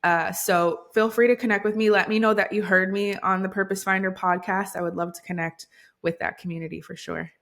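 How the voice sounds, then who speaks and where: An upbeat woman from Hawaii chats as a podcast guest with a mid-range voice. She talks quickly and casually, with easy fillers. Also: mid-range voice, fairly even intonation, gravelly, fairly bright tone. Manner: upbeat, fast-talking with fillers. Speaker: podcast guest, business coach. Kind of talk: casual interview chat.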